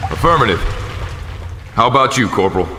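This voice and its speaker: An actor in an amateur war audio drama playing a steady, commanding army sergeant. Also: male voice, on the low side, fairly quick, slight background noise. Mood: grim, steady.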